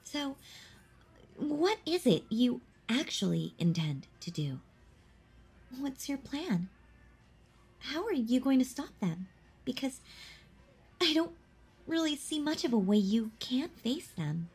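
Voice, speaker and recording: A woman performs a predatory female vampire in an intimate roleplay drama. Her voice is fairly high and breathy, and she draws her words out very slowly. She is mocking and possessive, talking down to her prey with teasing confidence. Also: big pitch swings, darkish tone, heavily acted. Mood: condescending, knowing. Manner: mocking, condescending, slow and deliberate.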